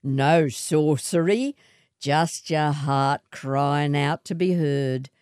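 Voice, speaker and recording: Woman voicing a low, sly outback swagman. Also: extremely slow, a little mellow, clear, resonant tone, no echo, clean studio sound. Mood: sly, seductive. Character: gruff, sly, seductive swagman, a grimy whiskered vagrant man.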